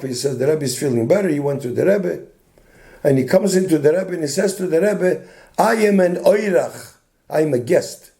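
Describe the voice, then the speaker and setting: A hoarse older man gives a loose, story-filled rabbinic talk. His voice sits high for a man yet sounds dark and mellow. He often stops and restarts his sentences. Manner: meandering, many restarts, mixes Yiddish and Hebrew terms. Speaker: rabbi giving a weekly talk. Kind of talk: informal Torah talk with stories.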